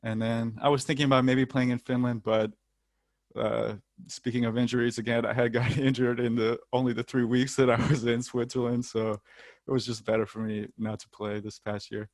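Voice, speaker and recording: A man's voice: mid-range voice, level tone, moderate pace, no echo. A young American man, a football player, chatting casually in an interview with frequent ums and the odd light laugh. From United States.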